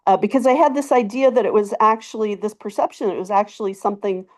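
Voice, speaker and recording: A woman's voice: mid-range voice, steady tone, quick pace. A woman giving a casual testimonial, telling her story conversationally with emphatic repetition and asides. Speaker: webinar participant giving a testimonial.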